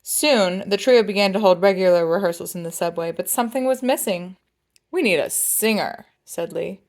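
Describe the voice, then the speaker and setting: A woman's voice: mid-range voice, fairly quick, a little mellow. A woman reading a picture book aloud as a storyteller, with playful, dramatic phrasing and jazzy sound effects.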